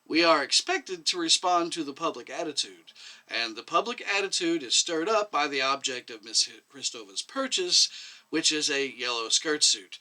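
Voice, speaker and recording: Man playing a wry, bright-voiced middle-aged doctor. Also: on the high side, lively intonation. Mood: wry, insistent. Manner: wry, deliberate, formal.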